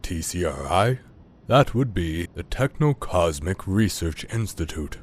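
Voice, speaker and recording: A man's voice: sits low, expressive, a little slow. A man playing a smug, scheming scientist businessman villain, speaking in a suave, wordy, theatrical and mocking way. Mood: smug, teasing. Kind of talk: audio drama villain acting.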